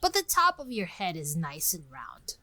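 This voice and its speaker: Woman voicing a querulous old wasp, animated, rustic. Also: fairly low pitch, moderate pace, dry recording, full character voice. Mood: blunt, critical. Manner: rustic uneducated speech, plaintive, critical. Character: old gray male wasp in yellow wig, querulous, blunt. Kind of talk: dramatic storybook reading with recited verse.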